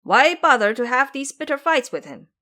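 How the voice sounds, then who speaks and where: A woman voicing a blunt, complaining male pig-man warrior monk in an audio drama, loud in manner. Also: sits high, very animated, moderate pace, pitched above the performer's own voice, exaggerated voice. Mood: arguing. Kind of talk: audio drama character acting.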